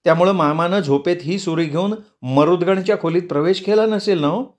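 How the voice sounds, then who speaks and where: A man's voice: high voice, brisk, pitched above the performer's own voice, lightly acted. A man voicing a jittery frightened young woman. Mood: anxious. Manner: fretful, pleading.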